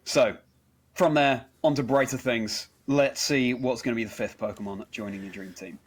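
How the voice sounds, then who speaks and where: A man's voice: medium pitch, fairly even intonation, normal speed, husky. A man hosting a conversational interview in an earnest, encouraging and effusive manner. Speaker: interview show host.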